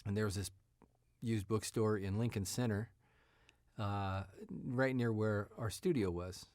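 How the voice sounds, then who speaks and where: A man in his fifties telling stories casually as an interview guest, in a halting, meandering way. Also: fairly low pitch, steady tone, a little mellow, no echo, studio quality. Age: 50s. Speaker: writer, actor, interview guest. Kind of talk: casual conversational storytelling. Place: radio studio.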